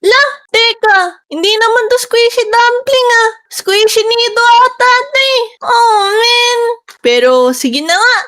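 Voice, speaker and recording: A performer voicing an excitable, childlike girl in a Minecraft roleplay, with squealing exclamations and sing-song babble. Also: very high voice, unhurried, heavily acted. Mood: puzzled. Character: excitable childlike girl game character, playful and easily delighted.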